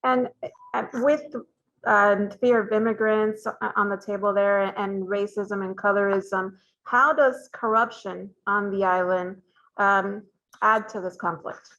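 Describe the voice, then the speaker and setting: A woman interviewer with a mid-pitched voice poses carefully framed questions at a steady, measured pace. Her sound is dull and lo-fi, with the top end missing. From of Cuban descent. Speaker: festival founder hosting a Q&A.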